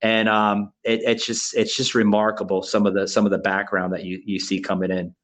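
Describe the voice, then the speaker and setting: A man from Florida co-hosting a podcast interview, talking in a halting way full of restarts and "you know" fillers. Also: on the low side, flat delivery. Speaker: podcast co-host. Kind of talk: casual interview chat.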